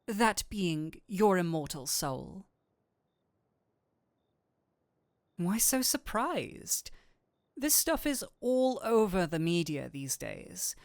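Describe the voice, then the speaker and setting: A man acts out a nerdy male incubus demon in an intimate audio roleplay. He speaks slowly and theatrically, teasing and coaxing with a kindly streak. The sound is dry, with no room around the voice. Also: high-pitched, clear, resonant tone, no room sound, full character voice. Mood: seductive, businesslike. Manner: coaxing, playful, theatrical switches between seductive and flustered.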